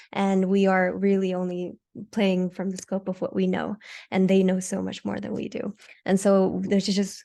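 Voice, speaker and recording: A woman's voice: mid-range voice, creaky, a little mellow. A woman giving an online presentation over a video call, hesitant and full of fillers and restarts. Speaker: fellowship presenter.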